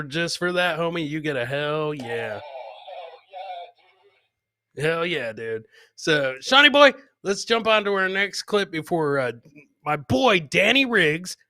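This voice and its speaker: A man with a fairly high, sharp, forceful voice hosts a live comedy show. He talks casually to his viewers in crude, joking slang and repeats lines to drive them home. The sound is dry, with very little room in it. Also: dry recording. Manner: repeats phrases for emphasis. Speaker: live comedy podcast host. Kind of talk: casual live clip commentary, chatting with viewers.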